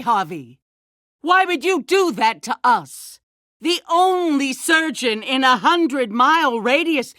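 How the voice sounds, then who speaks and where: An actress playing a calculating, self-righteous leader of a survivor community in a video game, speaking in a measured, condescending, politician-like way. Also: female voice, high voice, pushed and bright, no room sound, clean studio sound, exaggerated voice. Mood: commanding, accusing. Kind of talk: dramatic video game voice acting.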